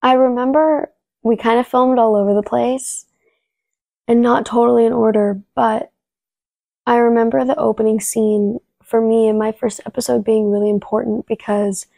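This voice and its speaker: A young woman, a native Spanish speaker talking in English, telling stories from her acting work in a relaxed interview with many restarts. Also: a little high, moderate pace, gravelly, no echo. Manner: storytelling, relaxed, frequent "like" and restarts. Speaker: actress, interview guest. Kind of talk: casual interview answers.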